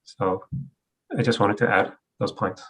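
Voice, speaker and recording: A man's voice: on the low side, fairly even intonation, measured pace. A European man with a hard-to-place mixed English accent, speaking candidly as a guest on an online panel. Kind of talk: casual panel discussion. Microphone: video call.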